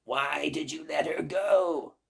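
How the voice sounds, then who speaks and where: A man's voice: a little high, slow, creaky, fairly bright tone, slightly rough, voice pushed up, exaggerated voice. Man playing a furious screaming old witch. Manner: screaming, accusing.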